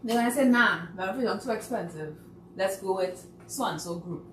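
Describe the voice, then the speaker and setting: A woman's voice: mid-range voice, brisk. Trini woman chatting casually, sounds far from the mic, roomy echo, faint hiss. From Trinidad and Tobago. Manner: animated, laughing, mimics people. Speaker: guest. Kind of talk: casual talk show chat. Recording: echoey room, voice from off-mic, faint noise.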